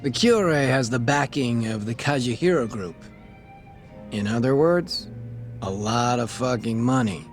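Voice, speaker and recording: A man playing a sly crooked cop who sells information in a video game. He is glib and casual, in a mid-range male voice. Music runs quietly behind him. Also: medium pitch, expressive, unhurried, lightly acted. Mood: knowing. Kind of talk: video game cutscene acting.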